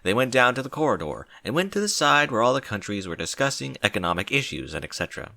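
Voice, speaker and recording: A man narrates a scripted fantasy story. His pitch moves in a lively way through the sentences, and the recording is dry with little room sound. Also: no room sound. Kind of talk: scripted fan fiction narration.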